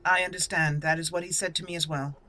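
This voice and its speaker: A woman voicing a solemn, proud female elf warrior in a roleplayed game, speaking formally and deliberately. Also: low voice, fairly even intonation, sharp tone. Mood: formal, curious. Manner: formal, measured, declarative.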